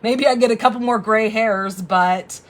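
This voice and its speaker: A woman in her forties hosting a casual live craft demo, chatty and thinking out loud as she works. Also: a little high, moderate pace. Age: 40s. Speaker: crafting host and instructor.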